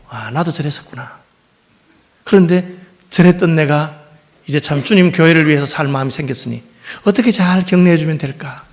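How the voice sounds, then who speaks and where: A middle-aged male pastor preaches from the pulpit with a regional dialect that never fully leaves his speech. His voice sits a little high for a man, and he talks at a rapid clip. When he retells stories, he slips into livelier, higher quoted voices before returning to his point. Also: vocal fry. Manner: speaks with a noticeable regional dialect; lively storytelling, acting out quoted people in a raised voice. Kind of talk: sermon. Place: church sanctuary.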